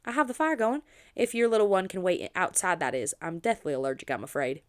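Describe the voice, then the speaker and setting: A woman's voice: on the low side, expressive, a little fast, clean tone, lightly acted. A woman voicing a sweet but firm elderly lady with a Southern US accent in an audiobook, genteel and apologetic. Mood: kindly, apologetic.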